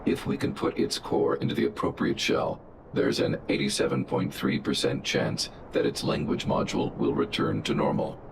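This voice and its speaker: An actor voicing a huge, stoic guardian robot that gives flat, logical reports in percentages, his voice processed to sound robotic. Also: extremely low pitch, normal speed, heavy background noise, heavily acted. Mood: calm analytic. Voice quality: gravelly, flat mechanical delivery. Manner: cold analytic reports in percentages. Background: game music.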